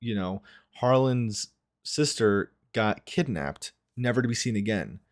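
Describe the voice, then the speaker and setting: A man's voice: lowish voice, lively intonation, measured pace. A man giving casual reaction commentary as a video host, thinking aloud as he goes. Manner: musing, thinking aloud, frequent "like" and "right".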